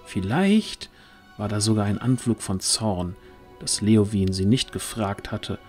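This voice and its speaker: Guy narrating a fantasy audio story, lowish voice, measured, soft music behind.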